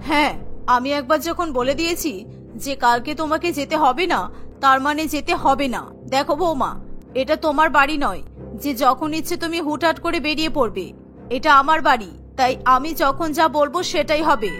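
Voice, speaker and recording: High, bright, strict mother-in-law. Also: normal speed, soft background music. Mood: stern. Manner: firm, admonishing. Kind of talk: Bengali cartoon voice acting.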